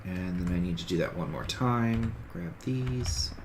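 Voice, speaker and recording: A man's voice: low-pitched, animated, measured pace, vocal fry, background noise. A man with a stuffy, sniffly voice from a cold, casually talking through a survival game as he plays, rambling and correcting himself. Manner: rambling, self-correcting, talks through each game step. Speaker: gaming streamer. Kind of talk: casual let's-play commentary.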